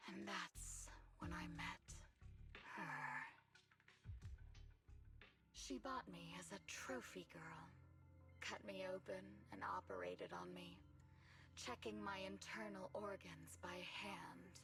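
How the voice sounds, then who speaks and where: A woman playing a brooding, obsessive wounded soldier villain in a video game, speaking in slow, deliberate, dramatic monologue. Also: on the low side, animated. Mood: bitter, pained. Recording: music playing behind the voice.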